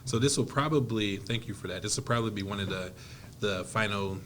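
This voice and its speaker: A man's voice: fairly low pitch, fairly quick, a bit bright. A man hosts a podcast interview. He talks in a friendly way and often repeats his words as he finds them. Faint background noise runs underneath. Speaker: podcast host.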